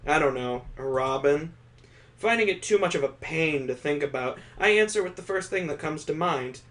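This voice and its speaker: Wry, higher-voiced man hosting a playthrough. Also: animated, moderate pace, a bit bright. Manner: wry, sarcastic asides. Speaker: let's play host. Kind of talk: reading a visual novel aloud with joking commentary.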